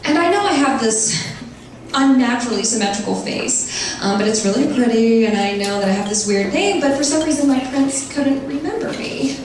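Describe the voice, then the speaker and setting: Brief: a woman playing a self-absorbed princess, breezy and a touch high, echoey room from far off. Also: animated, a little fast, background noise, lightly acted. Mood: gushing. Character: vain, airy fairy-tale princess, young woman.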